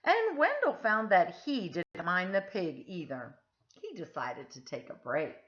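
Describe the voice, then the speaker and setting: A woman reading a picture book aloud to children in an expressive, emphatic storytelling style. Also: fairly low pitch, lo-fi sound. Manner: animated, deliberate, emphatic phrasing.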